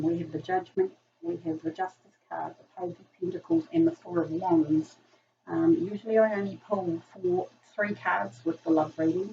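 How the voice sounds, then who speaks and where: A woman with a New Zealand accent, hoarse from a virus, chatting casually through a tarot reading with many ums. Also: fairly low pitch, dark tone. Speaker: tarot reader. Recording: distant mic, dull sound, faint noise.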